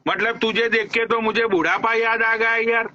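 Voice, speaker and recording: A man's voice: very high-pitched, little pitch movement, normal speed, bright, forceful tone, muffled recording. A man hosting a live stream, teasing his guest with crude, mock-respectful banter and frequent swearing.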